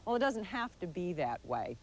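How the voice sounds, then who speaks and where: A woman's voice: lowish voice, sing-song, moderate pace. An American woman narrating a scripted television magazine feature as its reporter, playful and tongue-in-cheek with dramatic touches. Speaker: TV magazine show host and reporter. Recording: 1980s TV broadcast, music underneath.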